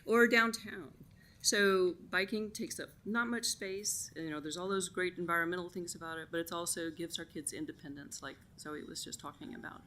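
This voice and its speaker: A woman's voice: on the low side, normal speed, bright, forceful tone, slight background noise. A middle-aged woman giving an enthusiastic public comment at a city council meeting, chatty with lots of "um"s. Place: council chamber.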